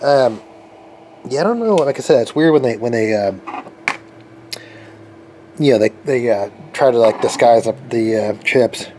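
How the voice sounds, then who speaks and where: A man giving a casual, unscripted hands-on demo as a hobby repair channel host, haltingly thinking aloud and repeating phrases. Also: mid-range voice, animated, mellow. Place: cluttered garage workshop with open door. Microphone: handheld camera microphone. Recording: noisy handheld camera in a garage. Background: ambient noise.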